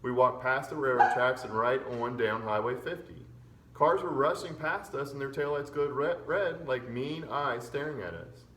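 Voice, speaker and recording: A man's voice: mid-range voice, moderate pace, a bit bright. A man, a fourth grade teacher, reading a children's chapter book aloud expressively. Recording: roomy echo with background noise.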